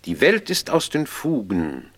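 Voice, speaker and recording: Vain, theatrical gentleman detective. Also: mid-range voice, huge pitch swings, a little slow, heavily acted. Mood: pompous. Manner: pompous, theatrical, peppers speech with French phrases. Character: vain older Belgian gentleman detective, courteous and self-important. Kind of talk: comic radio crime play acting.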